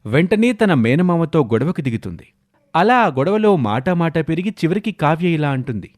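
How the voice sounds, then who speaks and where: Male storyteller with widely swooping pitch. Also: very animated, normal speed. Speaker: story narrator. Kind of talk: scripted narration of a family drama story.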